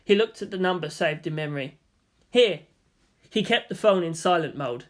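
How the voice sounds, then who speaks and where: A woman reading fan fiction aloud as expressive scripted narration. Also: low voice, brisk.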